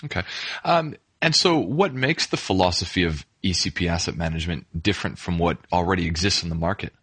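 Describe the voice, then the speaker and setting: A man hosting a business interview, asking polite, measured questions. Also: low-pitched, fairly bright tone, breathy edge, no room sound. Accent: non-Australian, immigrant.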